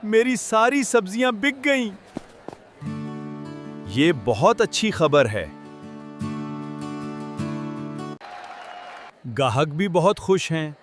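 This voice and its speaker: A man voicing a friendly, helpful vegetable in a children's cartoon, with an expressive, moralizing manner. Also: high voice, over music, a crowd in the background. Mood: excited.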